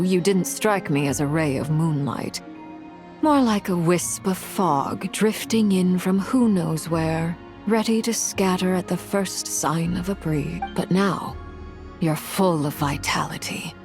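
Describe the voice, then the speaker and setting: An actress voicing a composed, commanding woman in a video game, with a measured, formal delivery and quiet care. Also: lowish voice. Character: composed, commanding adult woman, calculating yet quietly caring. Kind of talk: video game cutscene voice acting.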